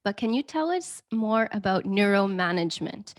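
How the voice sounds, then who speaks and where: A woman's voice: medium pitch, expressive, moderate pace. A woman who hosts an interview podcast, asking her guest friendly, polite questions. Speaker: podcast host. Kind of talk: scripted intro then interview questions.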